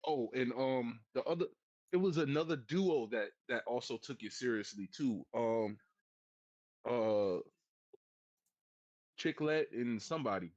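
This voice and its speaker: A man's voice: mid-range voice, animated, unhurried, long pauses, a little mellow. Casual male guest, hesitant and laid-back, on a muffled mic. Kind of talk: casual livestream chat. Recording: dull lo-fi mic.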